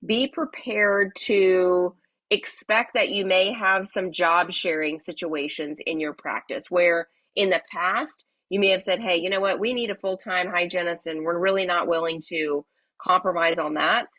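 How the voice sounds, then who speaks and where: A woman hosting a live webinar, talking in a friendly, chatty way with many fillers, slightly raspy from a cold. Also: on the low side, fairly even intonation, a little slow, a bit bright, dry recording, low-quality recording. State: hoarse from a cold. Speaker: webinar host.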